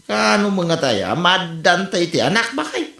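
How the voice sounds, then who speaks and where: A woman with an Ilocano Filipino accent acting a gullible, excitable husband in an amateur comedy audio drama. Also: on the low side, moderate pace, gravelly, exaggerated voice. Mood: wondering, wistful. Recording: roomy, echoing amateur podcast recording.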